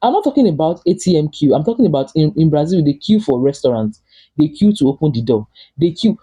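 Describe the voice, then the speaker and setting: A man's voice: a little high, sing-song, fast, warm tone, husky. A man from Nigeria with a Nigerian English accent, chatting casually and emphatically in a vlog, often repeating and restarting his phrases. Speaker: vlogger living abroad.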